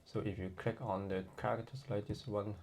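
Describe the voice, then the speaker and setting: A man from Hong Kong with a Hong Kong accent, giving a relaxed, chatty online lesson with many fillers. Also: lowish voice, brisk, vocal fry, a little hiss or hum. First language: Cantonese. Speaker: language tutor.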